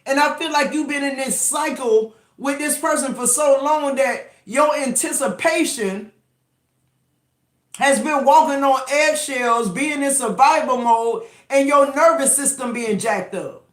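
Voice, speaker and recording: High-voiced 50s man in an echoey room. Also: measured pace, fairly bright tone. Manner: emphatic, profane, rambling with restarts. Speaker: tarot reader. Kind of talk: casual tarot reading to camera.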